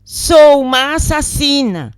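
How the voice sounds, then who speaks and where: A woman's voice: high-pitched, very animated, slow pace, no echo, pitched above the performer's own voice, full character voice. A woman with a Brazilian accent voicing a troubled twelve-year-old girl in an audiobook, insistent and dramatic. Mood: anguished insistence.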